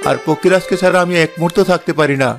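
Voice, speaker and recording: A man's voice: on the high side, fairly even intonation, racing, music in the background, natural voice. A man playing a pleading young prince in a cartoon fairy tale. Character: young prince, pleading, attached to his horse.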